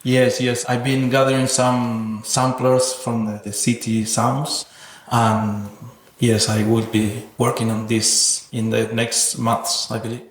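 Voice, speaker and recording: Even-toned reflective man in an echoey room. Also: medium pitch, slow. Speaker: interviewed sound artist. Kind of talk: reflective interview talk. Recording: roomy, echoing sound.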